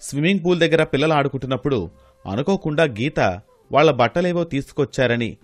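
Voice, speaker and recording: A man's voice: fairly high pitch, expressive, fast talker, no echo, soft background music. A man reading scripted narration for a moral story.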